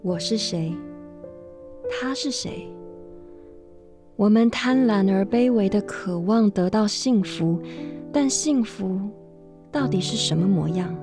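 A woman narrating a radio drama as a reflective storyteller, measured and literary. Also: medium pitch, natural voice. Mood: wistful, questioning. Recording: music behind the voice.

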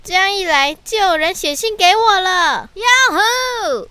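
A woman's voice: very high voice, expressive, unhurried, sharp tone, dry recording, heavily acted. A woman voicing a shy, earnest little puppy boy who delivers mail in a children's audio drama, childlike, eager and sincere. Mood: excited.

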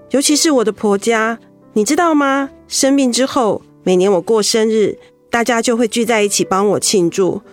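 A woman playing a gentle young wife who keeps a brave face, in a radio drama. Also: mid-range voice, lightly acted.

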